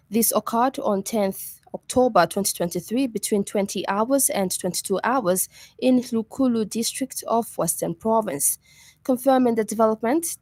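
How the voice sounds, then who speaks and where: A woman with a Zambian accent reading a scripted radio news bulletin in a formal, measured manner. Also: mid-range voice, a little mellow, dry recording.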